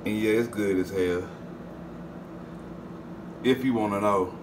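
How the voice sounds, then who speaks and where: A man's voice: on the low side, slow pace. Laid-back man with a faint room hum. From Southern United States. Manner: relaxed, chatty, addresses viewers as "y'all". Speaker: cooking channel host. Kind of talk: casual cooking vlog narration. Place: home kitchen.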